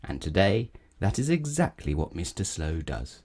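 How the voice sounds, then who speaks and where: Male children's narrator: deep, soft-toned, measured, very expressive read-aloud storytelling. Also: slightly rough. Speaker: storybook reader.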